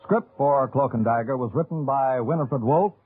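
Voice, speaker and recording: A man's voice: mid-range voice, moderate pace, slightly rough. A man reading scripted credits as an old radio announcer, in a formal listing manner. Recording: tinny old radio recording, faint music.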